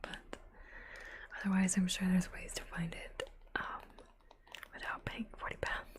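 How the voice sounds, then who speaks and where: A woman hosting an ASMR livestream, chatting softly to viewers in a breathy whisper with unhurried asides. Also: fairly low pitch, level tone. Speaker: livestream host, ASMR artist.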